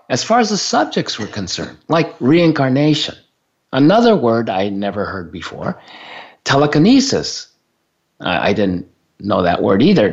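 A man from Japan telling a personal story in a relaxed chat, chuckling often and repeating words as he goes. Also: mid-range voice. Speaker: guest on spiritual talk show. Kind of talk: casual storytelling. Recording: dull lo-fi sound.

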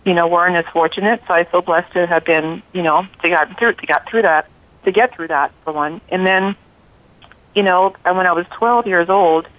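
A woman in her forties from Liberia, a radio show guest calmly telling her life story over the phone. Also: lowish voice, normal speed, no room sound. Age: 40s. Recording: tinny phone line.

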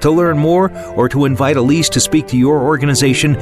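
A man's voice: on the high side, a little fast. A man reading the scripted announcer promo for a radio program in an upbeat delivery. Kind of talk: scripted promo read over music. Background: music bed.

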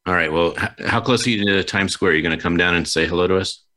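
A man's voice: low voice, quick pace, sharp tone. A man hosting a remote interview show, chatty and effusive as he talks with his guests. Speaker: show host. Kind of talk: conversational interviewing. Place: video call.

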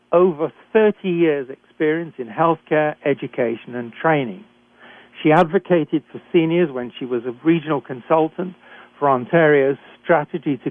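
An older man with a British accent hosting a radio talk show, reading guest introductions in a measured, formal way. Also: fairly high pitch, dry recording. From Britain. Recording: thin phone-line sound.

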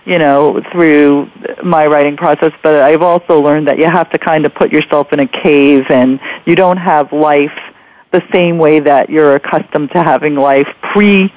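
High-voiced male host, dull lo-fi sound. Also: fairly even intonation, moderate pace. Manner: effusive, hesitant phrasing. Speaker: podcast host, interviewer. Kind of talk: casual interview hosting.

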